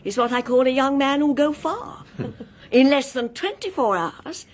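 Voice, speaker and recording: A woman's voice: high voice, lively intonation, normal speed. An actress playing a grateful, twinkly older lady in a radio drama, with a British accent and a genteel, effusive, teasing manner. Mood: playful, amused.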